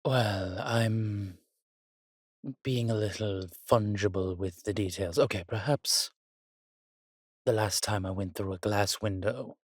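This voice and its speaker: Man acting a slow, husky, roguish old vampire. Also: lowish voice, no echo, studio quality. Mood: amused, nostalgic. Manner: theatrical, archaic turns of phrase, teasing. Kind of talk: intimate roleplay audio drama.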